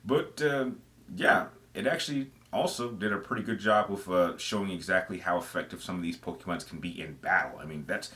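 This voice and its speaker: A man's voice: low voice, a little fast, pushed and bright. A man giving casual, semi-scripted commentary as the host of a gaming video, explaining his opinions conversationally and stressing phrases for emphasis.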